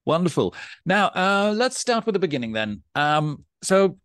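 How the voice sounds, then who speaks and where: A man from Norway hosting a podcast interview, asking questions in a measured, polite way with frequent restarts. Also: sits high, big pitch swings, fairly bright tone, clear, resonant tone, no room sound, studio quality. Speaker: podcast host and debate coach.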